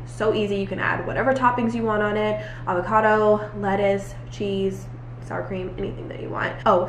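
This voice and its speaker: A young American woman and mother chatting casually to camera in a rambling, self-correcting way, sounding stuffy and congested from a cold. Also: medium pitch. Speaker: family vlogger, mother of four. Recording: echoey room with faint noise.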